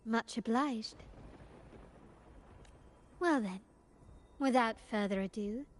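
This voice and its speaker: A woman playing a poised, cultured and enigmatic young lady in a video game, speaking formally and with measured eloquence. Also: a little high. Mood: polite, intrigued. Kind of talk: scripted video game dialogue.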